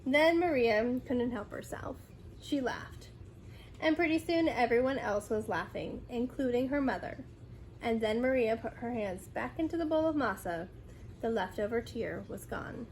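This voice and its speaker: A woman reading a children's story aloud in an expressive, dramatic way. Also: fairly high pitch, normal speed, a little hiss or hum, low-quality recording. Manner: dramatic, acts out dialogue.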